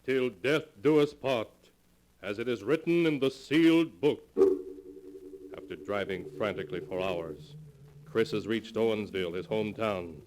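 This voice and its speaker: A man's voice: lowish voice, normal speed, darkish tone, natural voice. A man narrating a 1940s radio terror tale as announcer, in a solemn, dramatic manner. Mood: solemn, ominous. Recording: noisy vintage radio broadcast, humming.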